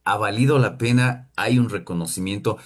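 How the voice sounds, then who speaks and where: A male interviewer with a very low, husky voice. He asks long, winding questions that circle around with fillers before he gets to the point. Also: moderate pace. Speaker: interviewer, host.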